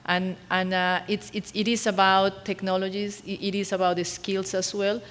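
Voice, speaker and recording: A woman from Colombia answering questions on a conference panel, halting with many restarts. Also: medium pitch, flat delivery, fairly bright tone. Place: conference hall. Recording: roomy hall with faint noise.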